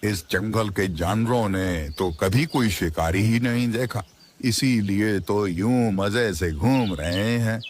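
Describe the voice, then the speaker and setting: Man playing a slow gloating cartoon hunter. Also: fairly low pitch. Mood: gloating glee. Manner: gloating, relishing. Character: gleeful, greedy hunter in a jungle. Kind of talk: cartoon story voice acting. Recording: clear background noise, birdsong effects.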